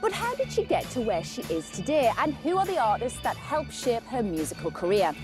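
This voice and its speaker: A woman hosting a broadcast interview, asking lively, teasing questions. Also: a little high, fairly quick. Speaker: interviewer host. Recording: music bed underneath.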